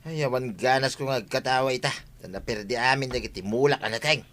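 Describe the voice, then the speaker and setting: A man's voice: mid-range voice, a little fast, fairly bright tone, natural voice. A man with an Ilocano accent playing a gloomy, stubborn village husband in a radio comedy drama. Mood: glum.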